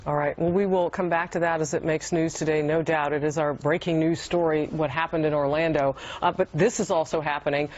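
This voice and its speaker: A woman hosting a live news panel, briskly steering the talk and teasing upcoming stories. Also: deep voice, steady tone, a little mellow, muffled recording. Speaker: panel host.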